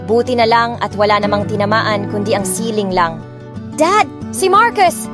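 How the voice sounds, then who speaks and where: A woman's voice: medium pitch, lively intonation, normal speed, natural voice. A young woman playing a lovestruck heiress, narrating her own romance story in an emotive, dramatic way. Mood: anxious. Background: music.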